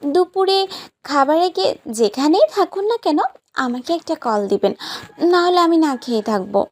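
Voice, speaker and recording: Woman acting a high-voiced, playful young bride. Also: animated, normal speed. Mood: dreamy, coaxing. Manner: pouty, teasing, insistent. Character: playful, demanding young new bride, sweetly bossy. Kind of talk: romantic audio story acting.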